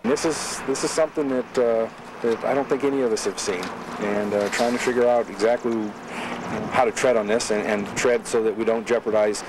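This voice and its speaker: A middle-aged American man, a police official, giving a halting, careful, unscripted statement to the press. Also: medium pitch, steady tone. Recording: noisy outdoor news interview, distant mic.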